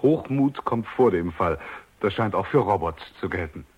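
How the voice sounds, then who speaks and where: A man's voice: sits low, animated, moderate pace, slightly rough, highs cut off. An actor playing an inquisitive, courteous man in a radio drama, speaking politely to an expert. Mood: wry. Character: inquisitive, courteous male listener questioning an expert. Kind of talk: German radio drama acting.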